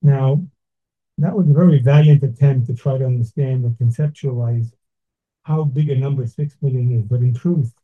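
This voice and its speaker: Older New man, husky, phone-thin. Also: mid-range voice. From Brooklyn, New York. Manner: slow, deliberate, with pauses. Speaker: speaker giving a talk. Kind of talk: measured reflective address. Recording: tinny phone-like sound.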